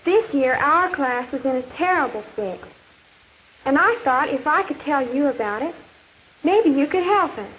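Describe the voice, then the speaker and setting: American schoolgirl telling her story in a 50s school film, high pitched, earnest, bit of hiss. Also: expressive, moderate pace, a bit bright. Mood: earnest, confiding. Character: earnest high school girl student narrating school troubles. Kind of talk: 1950s educational film narration. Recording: muffled, noisy 1950s film soundtrack.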